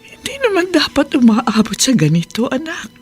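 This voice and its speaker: A woman acting a middle-aged, loving but firm mother in a Filipino radio drama, with a breathy, husky voice and an emotional, pleading manner. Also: sits high, big pitch swings, moderate pace. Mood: earnest, firm. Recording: background music under the voice.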